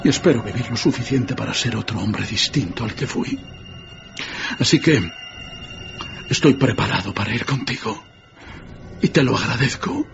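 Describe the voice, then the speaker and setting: An actor playing a frightened, repentant old miser in a radio drama, earnest and hesitant. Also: male voice, medium pitch, expressive, moderate pace, gravelly, long pauses. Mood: fearful, earnest. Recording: dull radio recording, music behind.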